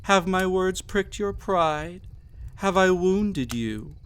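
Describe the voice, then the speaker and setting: A man's voice: sits high, animated, fairly bright tone, slight background noise, heavily acted. Man acting a haughty, cruel emperor with sweeping intonation. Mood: mocking contempt. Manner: haughty, formal, mocking, deliberate theatrical phrasing. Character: cruel, arrogant, possessive male emperor, devious and condescending. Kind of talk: scripted audio roleplay, villain monologue addressed to listener.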